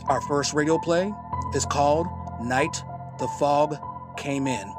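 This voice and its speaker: Male podcast host: very slow, deliberate, medium-pitched introductions over loud music. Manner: deliberate, pausing. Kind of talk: slow introduction of old radio plays.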